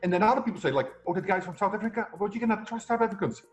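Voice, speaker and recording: A man's voice: sits high, rapid-fire, faint music behind. Middle-aged Dutch-accented man, interview guest. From Netherlands. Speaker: guest, success coach and entrepreneur. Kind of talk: conversational interview.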